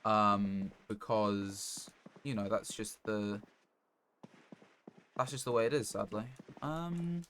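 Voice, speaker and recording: A man chatting casually on a gaming livestream in a low-key, rambling, hesitant way. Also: slow, a bit bright, slight background noise. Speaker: video game streamer.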